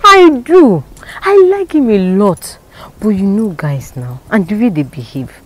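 A woman's voice: mid-range voice, measured pace. A woman acting in a skit as a wary single woman who wants marriage. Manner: expressive, wary.

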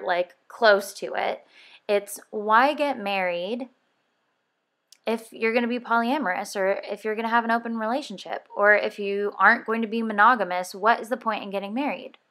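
A woman's voice: mid-range voice, pushed and bright. A woman in her early thirties hosting a podcast, talking casually and rambling a little, correcting herself and laughing as she goes. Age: 30s. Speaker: podcast co-host.

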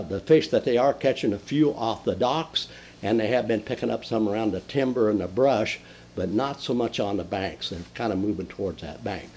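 An older man hosting a folksy fishing report from a den, talking with lively enthusiasm and frequent fillers and restarts. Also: on the low side. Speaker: fishing show host. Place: indoor den with mounted fish on the wall.

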